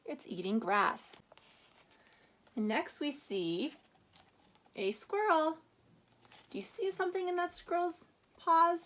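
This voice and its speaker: A woman teacher giving a reading lesson to young children, prompting them with questions and reading aloud with expressive emphasis. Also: sits high, slow, long pauses. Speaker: first-grade teacher.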